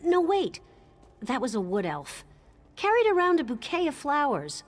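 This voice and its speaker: A woman's voice: sits high, wildly swooping pitch, normal speed. An actress playing a chatty, bemused townswoman with idle curiosity in a fantasy game. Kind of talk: game dialogue acting.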